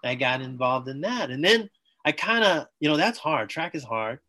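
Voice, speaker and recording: A man's voice: on the high side, big pitch swings, moderate pace, sharp tone. Enthusiastic man telling his story. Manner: enthusiastic, talks in bursts, acts out quoted dialogue. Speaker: podcast guest, former Olympic athlete. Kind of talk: conversational interview storytelling.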